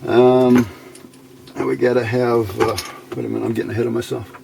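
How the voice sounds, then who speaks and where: A man with a gravelly, mid-pitched voice presents a casual hobby demonstration. He thinks aloud informally in short phrases. It sounds like a home video recorded indoors, with faint noise behind him. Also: little pitch movement, a little fast. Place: indoors at a workbench.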